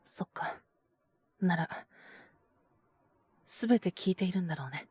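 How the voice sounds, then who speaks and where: 女性が演じる穏やかで落ち着いた大人の男. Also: female voice, expressive, slightly rough, no room sound, exaggerated voice. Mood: grave, apologetic. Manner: gentle, measured, low-key. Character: calm, caring, grown male shop owner. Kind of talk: Japanese audio drama acting.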